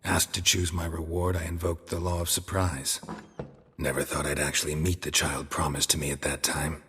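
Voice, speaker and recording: An actor playing a stoic, weary middle-aged monster hunter in a video game, with a gravelly, husky voice and terse, clipped delivery. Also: male voice, extremely low pitch, level tone, normal speed, bright, forceful tone, exaggerated voice. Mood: somber, reflective. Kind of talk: restrained video game dialogue.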